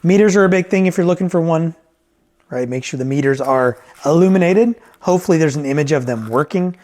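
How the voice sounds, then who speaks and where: Higher-voiced man giving a casual review. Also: fairly quick, darkish tone. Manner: conversational, thinking aloud, checking in with "right?". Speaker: vintage hi-fi reviewer and repairer. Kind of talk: casual off-the-cuff product review.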